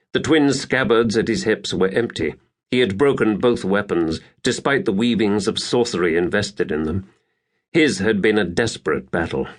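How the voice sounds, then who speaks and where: A man narrating an epic fantasy audiobook in a measured, theatrical, scripted style. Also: fairly low pitch.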